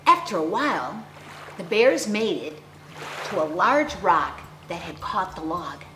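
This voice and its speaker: A woman storyteller reading a picture book aloud to young children with theatrical, deliberate phrasing and dramatic emphasis. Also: fairly low pitch, very animated, sharp tone, slight background noise. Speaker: children's storyteller, clown character host. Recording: roomy echo, faint music behind.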